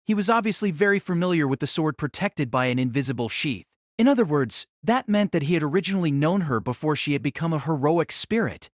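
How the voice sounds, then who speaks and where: A male audiobook narrator with a slightly high voice in a very dry recording. He reads dramatic fiction in a measured, formal and literary way. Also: expressive, dry recording. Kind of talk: scripted dramatic story narration.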